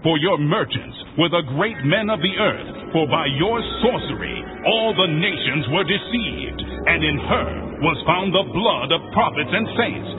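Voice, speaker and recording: A man portrays a mighty angel pronouncing final ruin in a scripture drama. The solemn declamation swings widely in a raised pitch, over music in thin, phone-like sound. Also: expressive, measured pace, few pauses. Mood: grave, final. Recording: tinny band-limited audio with music.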